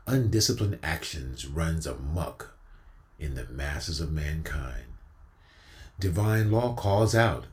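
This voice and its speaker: A man's voice: very deep voice, darkish tone. A man reciting spoken-word poetry like a preacher, with a solemn, exhortative, rhythmic delivery and emphatic repetition.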